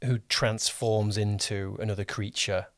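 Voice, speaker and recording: A man's voice: fairly low pitch, flat delivery, measured pace, dry recording. Man hosting a podcast interview, dry close sound. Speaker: podcast host and producer interviewing a guest. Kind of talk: casual interview chat.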